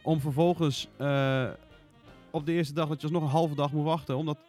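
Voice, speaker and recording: Man, slightly high, measured chat. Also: steady tone, darkish tone, soft background music. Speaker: podcast panelist. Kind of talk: casual discussion.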